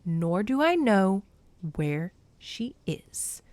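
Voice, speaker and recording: A woman voicing a commanding, defensive male king of the gods in a storybook, emphatic and measured. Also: a little high, big pitch swings, fairly bright tone, dry recording, voice pushed up. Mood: soft, steady.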